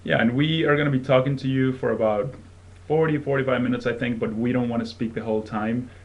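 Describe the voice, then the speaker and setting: A man's voice: mid-range voice, steady tone, fast talker, highs cut off. A young man from Venezuela in his twenties, an admission fellow giving a friendly, conversational presentation to prospective students with frequent ums. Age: 20s.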